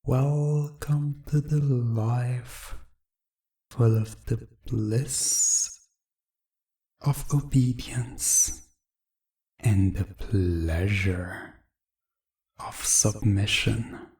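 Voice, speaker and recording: A man voicing a commanding, seductive vampire master in a hushed, deliberate, hypnotic ASMR roleplay. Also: mid-range voice, far from the mic.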